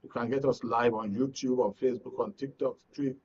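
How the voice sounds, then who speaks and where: A man's voice: mid-range voice, steady tone, a little fast, a little mellow, a little hiss or hum. Male Nigerian programme host, mid-range voice, live presenting style, lo-fi broadcast sound. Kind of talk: live current affairs presenting. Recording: dull lo-fi broadcast audio.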